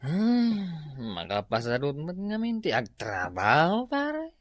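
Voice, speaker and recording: A man playing an easygoing hard-drinking husband in a radio comedy drama, drawling with swooping pitch. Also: huge pitch swings, slow, exaggerated voice. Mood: evasive.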